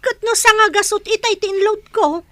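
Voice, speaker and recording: A woman's voice: very high-pitched, normal speed, sharp tone, no room sound, clean studio sound. An actress playing a busy neighbour mother in a radio comedy drama, questioning and easily affronted. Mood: puzzled. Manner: questioning, protesting.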